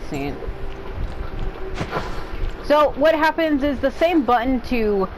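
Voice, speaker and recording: A woman's voice: sits high, expressive, slow, noisy background. A woman giving casual gameplay commentary as a streamer, blunt and sweary with sarcastic asides.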